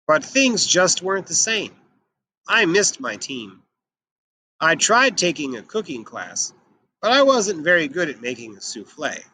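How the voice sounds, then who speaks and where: A man reading a children's picture book aloud in an expressive, emphatic way. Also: fairly high pitch, slight background noise, rough recording. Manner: animated, emphatic delivery. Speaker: children's storybook reader.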